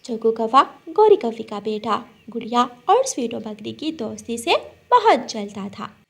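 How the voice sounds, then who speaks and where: A woman's voice: fairly high pitch, big pitch swings, unhurried, gravelly. A woman narrating a scripted children's story.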